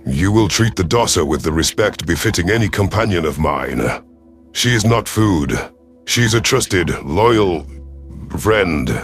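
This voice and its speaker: A man voicing a stern, shrewd alien predator chief in an audio drama, speaking in a formal, measured, authoritative way. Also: sits low, animated. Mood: firm, protective. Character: commanding alien predator rebel leader, male, shrewd and stern. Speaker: military chief narrating his own story. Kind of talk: audio drama narration and dialogue. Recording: music behind the voice.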